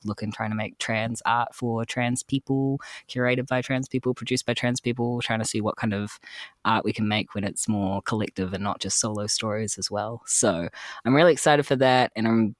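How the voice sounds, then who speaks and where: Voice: medium pitch, fairly bright tone, no echo. Kiwi podcast player chatting casual n heartfelt, bit self-deprecating, dry close audio. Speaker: podcast player, arts postgraduate student.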